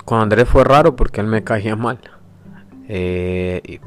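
A young man from El Salvador with a very deep voice talks reflectively, pausing and restarting as he introduces his podcast. Faint guitar music plays quietly behind him. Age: 20s. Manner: reflective, hesitant, with pauses and restarts. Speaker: podcast host. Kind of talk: personal introduction monologue.

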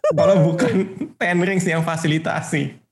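A man's voice: sits high, huge pitch swings, a little slow, creaky, very noisy. A man with an Indonesian accent trading casual comic banter on a panel, playful and mock-evasive. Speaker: podcast panelist.

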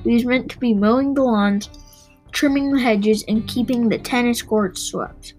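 High-ish female voice, children's audiobook reading, stumbles and restarts, faint music behind. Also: moderate pace, a little mellow, low-quality recording. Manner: stumbles and rereads phrases. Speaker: reader and host of a read-aloud show. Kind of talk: reading a children's novel aloud.

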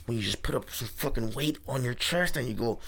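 A man guesting on a casual podcast, his voice sitting at an ordinary middle pitch. He jokes bluntly and swears freely. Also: expressive, brisk, gravelly, a bit bright, slightly rough. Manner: joking, blunt, profane. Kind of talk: casual chat.

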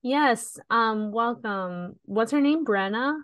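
Slow-talking sniffly woman, close dry sound. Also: a little high, fairly bright tone, no echo. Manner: informal, casual swearing. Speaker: podcast co-host. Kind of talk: casual chat.

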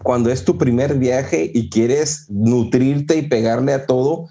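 Mellow, slow-talking man. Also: mid-range voice, creaky. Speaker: conversation partner and host. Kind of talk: casual chat.

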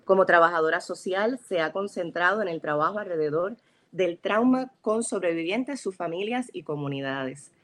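A woman host with a lowish voice reads out her guests' biographies. She keeps a measured, formal reading pace. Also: expressive, fairly bright tone.

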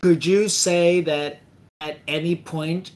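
Brief Halting co-host in their thirties. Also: mid-range voice, fairly even intonation, darkish tone. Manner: halting, many pauses and restarts. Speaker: podcast co-host. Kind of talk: casual interview.

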